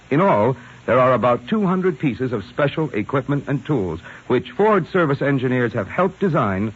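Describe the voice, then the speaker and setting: A man announcing a scripted 1940s radio commercial in a husky voice. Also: mid-range voice. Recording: muffled vintage radio recording.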